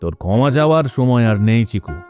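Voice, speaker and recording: A man acts a stern village judge in a cartoon. He speaks accusingly in a dark, mid-pitched voice, with music behind. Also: animated, fairly quick, close to an everyday voice.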